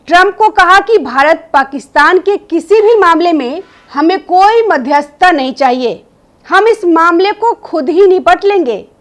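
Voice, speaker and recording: High-pitched woman presenting political news commentary. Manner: opinionated, emphatic rhetorical questioning.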